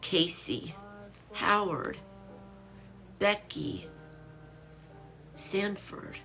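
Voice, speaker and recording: A woman's voice: medium pitch, animated, extremely slow, bright, forceful tone, soft background music. A woman in her late fifties chatting casually on a home live stream, greeting viewers by name while she lists items to sell. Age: 50s. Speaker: online seller live-streaming.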